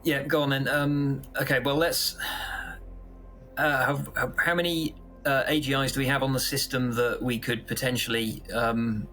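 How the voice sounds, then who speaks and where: A man playing a fretful boss of a space factory in an improvised audio drama, conversational and halting, fumbling for words. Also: medium pitch, fairly even intonation, bright, forceful tone, soft background music, natural voice. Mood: uneasy.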